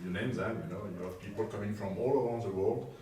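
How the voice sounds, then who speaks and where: Man, French accent, panelist, halting, low voice, echoey hall, distant mic. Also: mellow, a little hiss or hum. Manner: halting, many fillers and restarts. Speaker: aviation company panelist. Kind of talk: panel discussion. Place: large conference hall.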